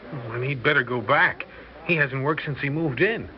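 An actor playing a deferential, hesitant hotel desk clerk in a 1960s radio drama. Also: male voice, mid-range voice, moderate pace, natural voice. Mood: hesitant prying. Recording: muffled, hissy vintage radio recording.

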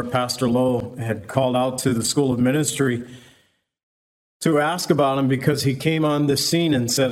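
A man, a pastor, teaching the Bible verse by verse in a conversational preaching manner, acting out quoted voices as he explains. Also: mid-range voice.

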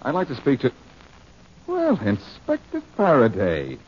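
An actor playing a cool, sardonic amateur detective with brisk delivery in an old radio drama. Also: male voice, mid-range voice, wildly swooping pitch. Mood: wisecracking. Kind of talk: 1940s radio acting. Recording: noisy, dull old radio recording.

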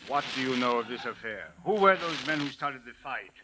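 A man's voice: mid-range voice, lively intonation, a little fast, lightly acted. Man as a formal police captain, noisy recording. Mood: stern, insistent. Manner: formal, precise, insistent. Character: stern, formal Cairo police captain, politely menacing. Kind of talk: 1940s radio drama acting. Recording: noisy vintage radio recording.

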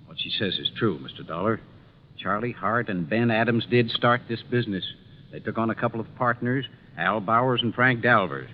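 A man's voice: fairly low pitch, animated, normal speed, narrow, tinny audio, lightly acted. A man playing an indignant, defensive businessman, formal and emphatic, in a 1950s radio drama. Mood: defensive explaining. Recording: thin, noisy 1950s radio recording.

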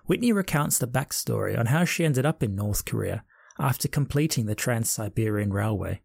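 This is a male podcast host with a gravelly, fry-tinged voice, recorded close and dry at home. He reads his introduction in an upbeat, measured way and then moves into relaxed conversation. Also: medium pitch, dry recording. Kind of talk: scripted intro then casual interview. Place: home during lockdown.

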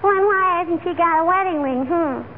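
Really high little kid voice, naive and pesky, old noisy radio comedy. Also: female voice, normal speed. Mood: innocent, pestering. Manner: childlike, questioning, tacks "hmm?" and "huh?" onto questions. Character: persistent, naive little neighbor girl. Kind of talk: 1940s radio comedy acting. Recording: noisy, narrow-band old radio recording.

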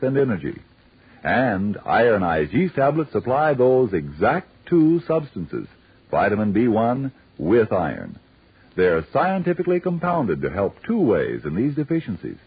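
A man's voice: mid-range voice, slightly rough, no echo. A man working as a 1940s radio announcer, formal and emphatic as he delivers scripted sponsor copy. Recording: tinny 1940s radio recording.

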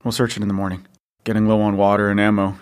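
An actor playing a weary, gruff father surviving after the end of the world, talking in short clipped lines. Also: fairly low pitch, level tone, fast talker, natural voice. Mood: tense calm. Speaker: father character John.

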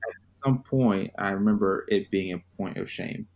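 A man from Zimbabwe, a podcast guest, telling his personal story in a measured, reflective way. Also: on the low side, a little mellow, rough recording.

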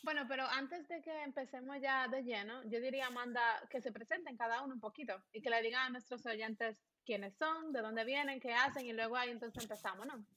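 A woman co-hosting a casual podcast, lively and steering the talk with questions. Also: fairly high pitch, fairly quick, hardly any pauses. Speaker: podcast co-host. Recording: dull lo-fi audio.

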